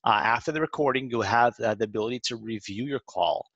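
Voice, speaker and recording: A man presenting a software walkthrough in an informal, step-by-step instructional way. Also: medium pitch, fast talker, slightly rough, no echo, dull audio. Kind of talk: walkthrough narration of a screen demo, semi-scripted. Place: close dry voice-over for a screen recording.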